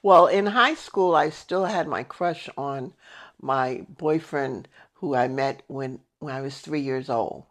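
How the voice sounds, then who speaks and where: An American woman in her eighties telling family stories in a casual, animated way, emphatically acting out remembered dialogue. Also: very deep voice, slow. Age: 80s. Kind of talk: casual personal storytelling.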